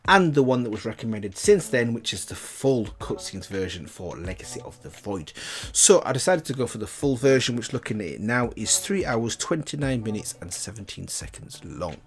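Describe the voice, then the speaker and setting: A man's voice: mid-range voice, sing-song, moderate pace. A man hosting a reaction video, talking casually as he introduces and comments on what he watches. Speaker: video host reacting to game cutscenes.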